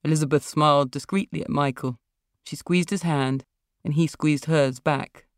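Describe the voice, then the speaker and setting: A woman's voice: sits low, fairly even intonation, moderate pace, dry recording, clean studio sound. A woman author reading her own literary fiction as narrator.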